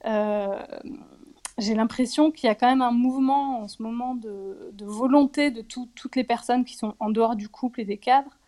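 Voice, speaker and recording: A woman's voice: fairly high pitch, warm tone. Reflective woman in her forties. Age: 40s. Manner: reflective, hesitant, many pauses and fillers. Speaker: interviewee. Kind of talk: candid personal testimony.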